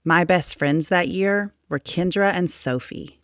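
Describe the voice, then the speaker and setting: A woman narrates a children's picture book in a low voice. She takes her time, with measured, expressive phrasing, and the sound is close and dry. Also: dry recording. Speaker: storybook reader. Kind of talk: children's read-aloud narration.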